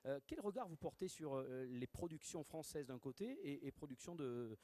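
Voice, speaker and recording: A man interviewing two actors at a festival, asking brisk, leading questions. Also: fairly high pitch, expressive, dark tone.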